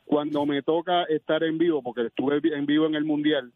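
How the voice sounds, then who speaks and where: A man's voice: on the high side, steady tone, fairly quick, no echo. A man in his fifties from the Dominican Republic, raised in Puerto Rico, chatting casually as a sports caller over the phone. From born in Dominican Republic, raised in Puerto Rico. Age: 50s. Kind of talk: casual sports call-in chat. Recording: tinny, dry phone line.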